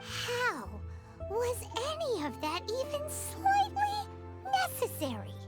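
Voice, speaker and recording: Game sidekick fairy: childlike, extremely high, slow and dramatic, blunt and excitable. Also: female voice, heavily acted. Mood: annoyed. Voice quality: squeaky, childlike. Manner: exclamatory, dramatic, refers to self in third person. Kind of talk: video game character dialogue. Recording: studio voice over game music.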